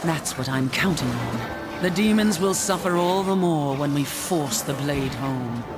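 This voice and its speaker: A woman's voice: low voice, lively intonation, measured pace. A woman playing a proud, weary warrior angel commander in a video game, speaking in a solemn, stern and formal way. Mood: resolute, stern. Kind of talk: dramatic video game acting. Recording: music behind the voice.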